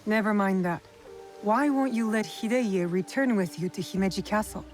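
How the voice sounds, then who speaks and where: A woman voicing a determined heroine who questions lords directly and calmly in game cutscenes. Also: medium pitch, normal speed, soft background music, close to an everyday voice. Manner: direct, probing and calm. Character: cool determined female shinobi heroine. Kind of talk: video game cutscene acting.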